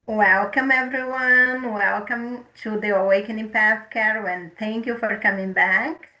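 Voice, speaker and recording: A woman hosts a spiritual talk show in a slow, gentle way, now and then stumbling over her words. Her voice is bright and sits a little high. It rings in an echoey room. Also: steady tone. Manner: gentle, unhurried, occasional stumbles. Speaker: host.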